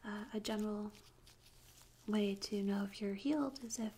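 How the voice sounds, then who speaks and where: Soft whispering woman, close-up ASMR. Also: medium pitch. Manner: slow, gentle, unhurried instructions. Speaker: ASMR performer playing a tattoo artist. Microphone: close binaural stereo microphone. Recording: close binaural recording with audible noise.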